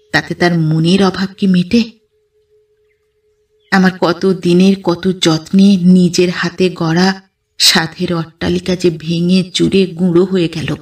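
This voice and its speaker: A woman reading a literary story in the first person, with measured, expressive delivery as an audio story narrator. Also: on the low side, far from the mic.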